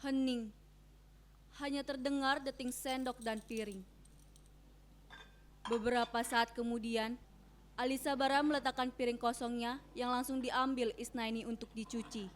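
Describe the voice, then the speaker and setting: A woman's voice: a little high, fairly even intonation, unhurried, pauses often, slight background noise. A woman narrator reading scripted stage directions as dramatic storytelling.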